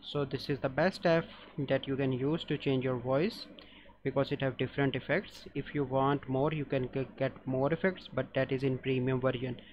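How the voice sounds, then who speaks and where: A man's voice: medium pitch, fairly even intonation, normal speed, faint music behind. A man hosting a how-to app tutorial as a voice-over, giving simple step-by-step instructions. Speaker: tutorial host. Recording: dull lo-fi audio, noisy background.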